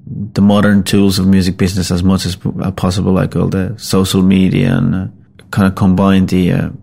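A middle-aged Finnish-born man with a Finnish accent, a rock musician talking casually in English, his second language, in a halting, rambling, self-deprecating way. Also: deep voice, monotone, vocal fry, warm tone, husky. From Finland. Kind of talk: casual spoken account.

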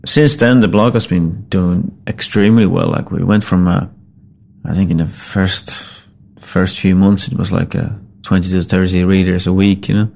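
Gravelly low-voiced Finnish man. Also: fairly even intonation, a little mellow. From Finland. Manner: halting, rambling, self-deprecating. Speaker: rock musician. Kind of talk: casual spoken account.

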